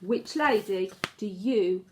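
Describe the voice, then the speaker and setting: A woman's voice: medium pitch, a little hiss or hum. A woman teacher reading a storybook aloud to children in a dramatic, suspenseful way, with asides to her pupils. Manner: expressive, suspenseful, deliberate storytelling.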